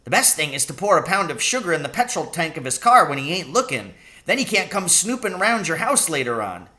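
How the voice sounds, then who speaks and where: A man's voice: on the high side, big pitch swings, a little fast, bright, forceful tone, voice pushed up. A man voicing a sly old country taxi driver and poacher, with rustic, colloquial, exclamatory speech in a storybook reading. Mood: crafty.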